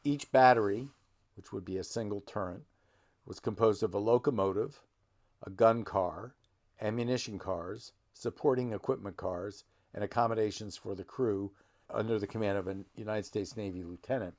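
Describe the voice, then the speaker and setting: Middle-aged guy with a gravelly kinda low voice, chatty and folksy, close to the mic. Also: normal speed, darkish tone. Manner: rambling, self-correcting, folksy. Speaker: genealogy channel host. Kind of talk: casual explanatory talk. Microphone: desk microphone close to him.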